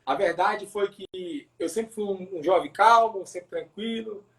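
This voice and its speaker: A man from Pará with a northern Brazilian accent telling his personal testimony as a pastor in a casual interview, haltingly, repeating words and adding tag questions. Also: high voice, a bit bright. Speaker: pastor, podcast guest.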